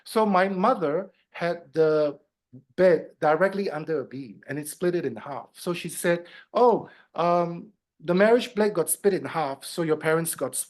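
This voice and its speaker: A man in his fifties who grew up in Singapore, a guest in an interview, telling a long personal story. His voice often rises into louder bursts, then drops soft. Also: a little high. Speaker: guest, feng shui consultant.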